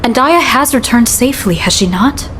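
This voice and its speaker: A woman voicing a calm, composed ally who speaks formally and soothingly in a video game cutscene. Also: a little high, moderate pace, a little hiss or hum. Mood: reassuring. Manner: formal, steady, reassuring. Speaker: game character.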